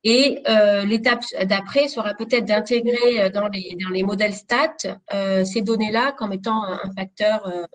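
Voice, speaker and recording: A woman's voice: mid-range voice, fairly even intonation, moderate pace, few pauses, slight background noise. A woman on a video call panel explaining the steps of a planning project. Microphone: video call webcam.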